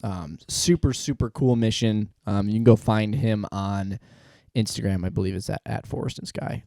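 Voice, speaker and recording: A man's voice: on the low side, moderate pace, gravelly, a little mellow, dry recording, studio quality. A man hosting a podcast, talking casually and bantering with his co-host. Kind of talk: casual banter and show intro.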